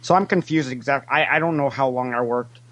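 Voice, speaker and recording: A man's voice: medium pitch, fairly quick, a bit bright, lightly acted. A man playing a cheerfully shameless, greedy Ferengi alien money investigator, talking in a hesitant, rambling, matter-of-fact way in an improvised interview. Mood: hesitant, confused. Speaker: interview guest. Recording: internet call connection.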